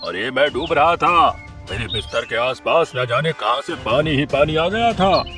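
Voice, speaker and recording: A man's voice: fairly high pitch, lively intonation, moderate pace, pushed and bright. A man voicing a panicky, fearful crow father in a cartoon, agitated and exclaiming. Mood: shaken, agitated. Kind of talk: cartoon character acting. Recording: music behind the voice.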